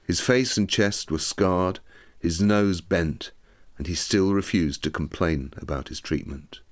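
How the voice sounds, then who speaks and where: A man reading a scripted documentary audiobook in a measured, articulate, expressive way. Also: low-pitched. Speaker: audiobook narrator.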